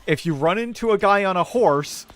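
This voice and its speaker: A man streaming a game and rambling casually, thinking aloud in a halting way as he plays. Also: high voice, expressive, fairly bright tone. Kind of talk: casual rambling commentary while gaming.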